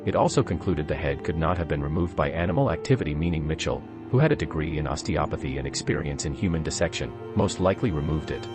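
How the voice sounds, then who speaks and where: Man, very deep voice, scripted documentary narration, music behind. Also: animated, fast, hardly any pauses.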